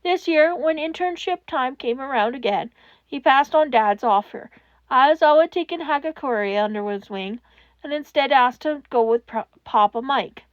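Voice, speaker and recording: A woman reading a fan fiction story aloud in expressive scripted narration. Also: high-pitched, moderate pace, dry recording, dull audio.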